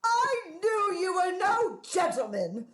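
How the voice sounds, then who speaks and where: A woman's voice: very high-pitched, sing-song, slow pace, fairly bright tone, slight background noise, full character voice. A woman playing a melodramatic, vengeful scorned ex-wife in a radio play, with haughty, theatrical delivery. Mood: scheming. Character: vengeful, melodramatic scorned ex-wife posing as respectable society lady.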